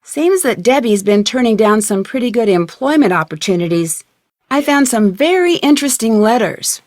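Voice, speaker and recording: A young female detective from a video game, played by a woman, with a high voice recorded close and dry. She sounds inquisitive and polite, speaking clearly with self-assured confidence. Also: lively intonation, moderate pace, a little mellow, no room sound, lightly acted. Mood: friendly, businesslike.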